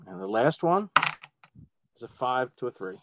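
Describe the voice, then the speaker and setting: A man's voice: medium pitch, very animated, moderate pace, very noisy. A man speaking casually as a player in a tabletop role-playing game, reading out his dice rolls. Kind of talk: casual chat.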